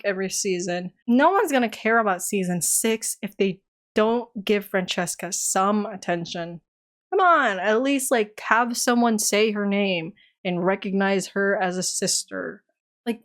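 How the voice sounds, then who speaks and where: A young woman giving an animated, exasperated review of a TV series in a casual vlog, her voice tiring. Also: mid-range voice. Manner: animated, exasperated, dramatic outbursts. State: voice getting tired.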